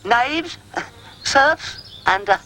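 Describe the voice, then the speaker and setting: A man voicing a pompous, excitable male dog in a storybook reading, with blustering exclamations and grand airs. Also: very high-pitched, very slow, voice pushed up, full character voice. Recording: music playing behind.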